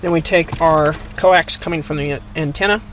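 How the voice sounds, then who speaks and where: A man's voice: fairly high pitch, steady tone, normal speed, a bit bright. A man giving a hands-on tutorial, explaining things step by step in a plain, methodical way. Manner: plain, methodical instructional delivery. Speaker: presenter of a how-to video. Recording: clear background noise, vehicle ambience.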